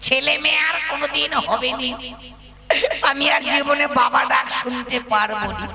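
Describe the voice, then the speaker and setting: Shrill, creaky comic husband puppet. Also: male voice, moderate pace, bright, forceful tone, full character voice. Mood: complaining. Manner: folksy, exclamatory, repetitive, addresses the audience. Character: poor, childless comic village husband puppet, excitable and scolding. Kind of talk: Bengali folk puppet comedy performance.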